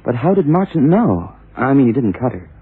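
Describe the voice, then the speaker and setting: An actor playing a detective's younger male sidekick, eager and puzzled, questioning haltingly in vintage radio drama style. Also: medium pitch, big pitch swings, slightly rough. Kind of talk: 1960s-70s radio drama acting. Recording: muffled, narrow-band vintage radio recording.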